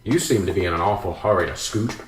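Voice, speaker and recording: An actor playing a steady, dutiful small-town police investigator in a radio drama, measured, formal and dry. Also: male voice, deep voice, slight background noise, lightly acted. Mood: weary, solemn. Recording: echoey room.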